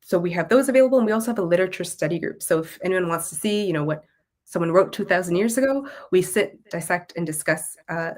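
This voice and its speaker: A woman's voice: fairly low pitch, animated, quick pace. A woman with a Canadian accent speaking as an interview guest in a reflective, articulate, conversational way. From Toronto, Canada. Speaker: guest, content creator and Tamil language teacher.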